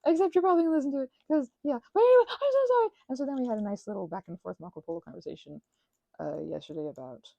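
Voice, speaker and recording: A woman's voice: sits high, expressive, very fast, gravelly, dark tone, dry recording, highs cut off. A young adult woman chatting casually as she crafts, in a scattered, self-interrupting way, gushing and poking fun at herself as she wanders off on tangents. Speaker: craft and ASMR channel host. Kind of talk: casual rambling chat while crafting.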